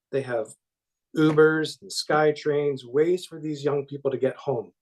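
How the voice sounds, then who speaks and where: A male councillor argues in council debate, earnest and a little self-deprecating, with halting restarts. His voice sits at an ordinary middle pitch. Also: a little mellow. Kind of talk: speaking in debate at a council meeting. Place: council chamber.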